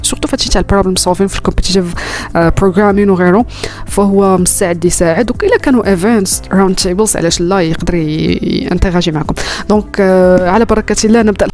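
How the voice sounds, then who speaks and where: A woman's voice: mid-range voice, darkish tone. A woman with a Moroccan accent and Arabic as her first language, hosting an interview podcast. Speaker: podcast host.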